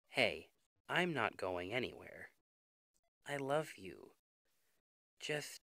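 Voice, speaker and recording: A man playing a worried, devoted young boyfriend in an intimate ASMR roleplay, speaking in an earnest, emotional, halting way. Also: medium pitch, bright, forceful tone, dry recording, clean studio sound, full character voice. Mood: tender, worried.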